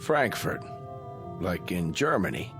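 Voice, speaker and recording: Wisecracking american guy, blunt and sardonic, lowish voice, old tv drama. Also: very animated, slow pace, full character voice. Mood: pointed. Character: brash, sardonic, talkative American male official. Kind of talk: vintage television drama acting. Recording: old television soundtrack, faint music behind.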